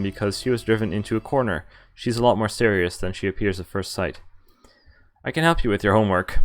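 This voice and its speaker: A man streaming a game, casually reading its text aloud and adding laid-back, wry asides. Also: lowish voice. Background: game music.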